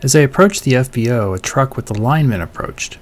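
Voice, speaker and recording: A man narrating a vlog in a measured, scripted voice-over, telling things in an orderly way. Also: mid-range voice, expressive, soft background music.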